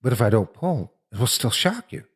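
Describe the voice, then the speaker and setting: Guy doing a tearful little kid, scared and pleading, voice pushed higher, bit raspy. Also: sing-song, a little fast. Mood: anxious bargaining. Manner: anguished, pleading. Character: frightened ten-year-old boy, bargaining and tearful.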